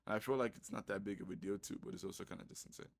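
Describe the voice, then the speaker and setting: A man's voice: deep voice, racing, vocal fry. A man giving casual commentary to his viewers, loose and conversational, calling them bro. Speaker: commentary YouTuber.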